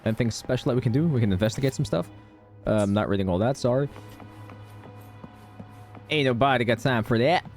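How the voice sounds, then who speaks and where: A man's voice: mid-range voice, fairly quick, long pauses, darkish tone. A male game streamer with a mid-range voice chats casually over faint game music. He is irreverent and slangy, tossing out jokes as he comments on the playthrough.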